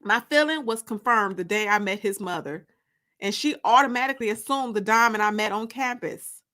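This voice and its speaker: A woman narrating a first-person story aloud in an expressive, confiding, emphatic way. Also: mid-range voice, a little fast.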